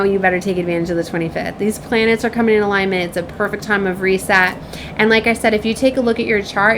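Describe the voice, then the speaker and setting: A woman with a fairly high voice speaks informally to her viewers in the role of a spiritual reader. She rambles in an effusive way and keeps tagging her thoughts with little checks for agreement. Some light noise sits behind her voice. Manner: effusive, rambling, often seeking agreement with tag questions. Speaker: spiritual reader and self-described shaman.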